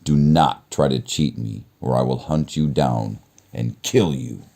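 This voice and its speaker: A man's voice: a bass voice, unhurried, creaky, dark tone, exaggerated voice. A man voicing a monstrous fairy-tale beast, menacing yet lovelorn, with grand, emphatic delivery. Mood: stern threat.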